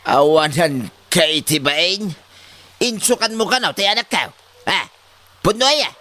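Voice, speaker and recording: Man acting a high-voiced scolding old father. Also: a little slow. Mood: angry scolding. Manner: exclaiming, sharp questioning. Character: scolding, hot-headed old father of a married daughter.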